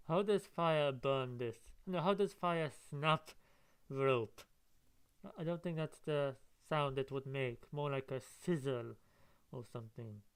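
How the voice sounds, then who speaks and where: Male reader-reviewer, smooth clear voice, casual and rambling, thinking out loud as he goes. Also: mid-range voice, slow pace. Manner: musing, rambling asides. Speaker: comic book reader and reviewer. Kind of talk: casual read-along with running commentary.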